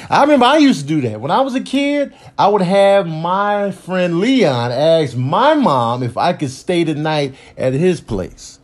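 A man with a high voice riffs casually in a slangy, conversational way. He comments as a parent, spinning stories with comic mock indignation. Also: darkish tone.

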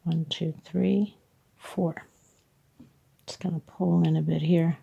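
Stuffed-up husky woman teaching crochet. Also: low voice, fairly even intonation, takes it slowly, highs cut off. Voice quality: husky, gravelly voice. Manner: chatty, warm thanks and hugs to viewers. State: congested, stuffed-up from sinus. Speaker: crochet tutorial host. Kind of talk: casual step-by-step craft tutorial. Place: at home, table with yarn.

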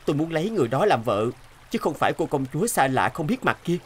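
A man voicing a brave, gentle young hunter in an animated fairy tale, earnest and protective. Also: on the high side, quick pace. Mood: sincere, loving. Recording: music behind the voice.

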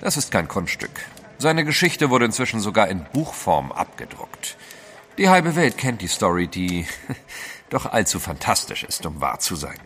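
Sceptical gentleman adventurer, audio drama, formal, haughty, animated intonation. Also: lowish voice, normal speed, slight background noise, heavily acted. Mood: amused, sceptical. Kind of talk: German audio drama acting.

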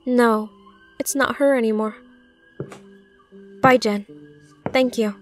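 A woman's voice: a little high, steady tone, slow, soft background music. A young woman playing a troubled, determined heroine in a comic dub, with an earnest, intense delivery. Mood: subdued, determined. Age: young adult. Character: troubled, determined young woman searching her father's secrets. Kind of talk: animated comic dub acting.

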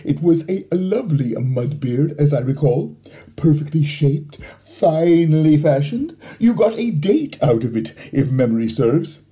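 A man voicing a proud, grumbling boy in a children's story, with a deliberate, hesitant way of listing things. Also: on the high side, expressive, warm tone. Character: Bart, proud, grumbling boy with secret family remedies.

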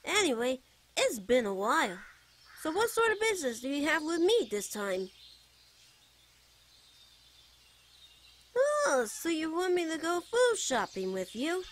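A woman's voice: high voice, big pitch swings, a bit bright, a little hiss or hum. A woman voicing a playful, teasing, fondly protective young bard boy in a giggly ASMR character roleplay. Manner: teasing, giggly, drawn-out phrases. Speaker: voice actor.

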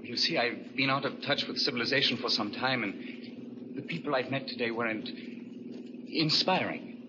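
A man's voice: medium pitch, normal speed, noisy background, natural voice. An actor in a 1940s radio drama playing a desperate, dying young man who foresees the future, speaking earnestly and dramatically. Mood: somber, gentle. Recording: muffled, vintage 1940s radio recording.

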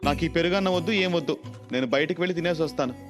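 A man's voice: on the high side, rapid-fire, over music, voice pushed up, close to an everyday voice. A man voicing a sulky, spoiled young man who complains indignantly in a moral storybook tale. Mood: petulant. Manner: whiny, indignant. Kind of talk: Telugu moral storybook acting.

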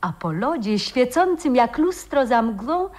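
High-voiced dreamy young romantic. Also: female voice, very animated, a little slow, darkish tone. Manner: lyrical, exclamatory. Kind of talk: radio play acting.

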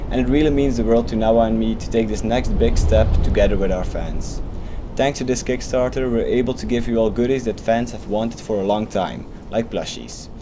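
A man, a webcomic writer, reading a friendly, steady promotional voice-over. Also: fairly low pitch, noisy background.

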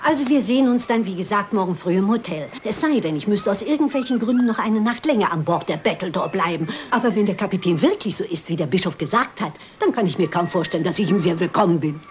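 A woman playing a shrewd, resolute elderly lady detective in a German crime radio play, brisk and self-assured. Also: mid-range voice, animated, close to an everyday voice. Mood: brisk, firm. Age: older. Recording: hissy old radio-play recording.

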